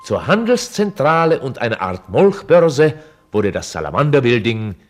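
An actor playing a chronicler in a radio drama, explaining things formally like a documentary reporter. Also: male voice, mid-range voice, very animated, moderate pace, noisy background, natural voice. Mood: explanatory, formal. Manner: explanatory, formal, theatrically varied delivery. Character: male chronicler presenting a mock documentary report. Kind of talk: scripted radio drama narration.

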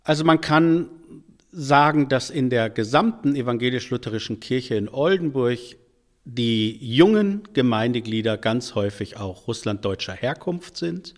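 A man in a church role answering interview questions, explaining thoughtfully and letting phrases fade softly at their ends. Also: mid-range voice, animated, moderate pace.

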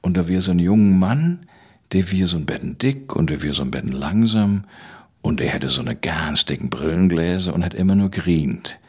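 A man in his sixties with a northern German Low German accent, telling anecdotes vividly in a conversational interview. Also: lively intonation, normal speed, darkish tone, slightly rough. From island of Rügen, northern Germany. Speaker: playwright and storyteller, interview guest.

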